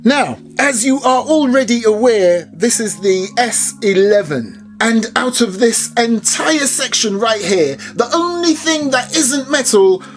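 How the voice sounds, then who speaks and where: Chatty British man, unhurried. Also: very high-pitched, animated, faint music behind. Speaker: airsoft reviewer. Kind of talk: unboxing review.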